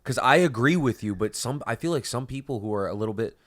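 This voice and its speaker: A man's voice: mid-range voice, lively intonation, quick pace, a bit bright. A young man hosting a podcast, chatting casually with lots of swearing and stumbling restarts. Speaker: podcast host. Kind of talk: casual chat.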